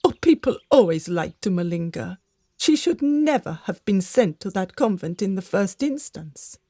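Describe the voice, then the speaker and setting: A woman's voice: mid-range voice, very animated, normal speed, dark tone, dry recording, clean studio sound. A woman playing an older gossiping servant in a radio drama, secretive, theatrical and conspiratorial. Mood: protesting.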